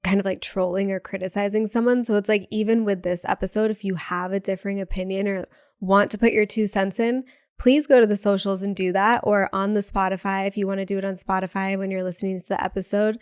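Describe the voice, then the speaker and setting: A young woman co-hosting a podcast in casual chat, bubbly and quick with asides, laughing often, sounding a bit sick with a cold. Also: mid-range voice, steady tone, a little mellow, clear, resonant tone, no room sound, clean studio sound. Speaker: podcast co-host.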